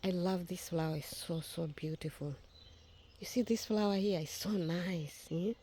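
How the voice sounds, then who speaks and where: Woman, native Swahili speaker, mellow lowish voice, casual phone vlog, repeats and restarts phrases. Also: normal speed, slight background noise. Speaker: vlogger. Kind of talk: casual walk-and-talk vlog. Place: outdoors in a rural garden.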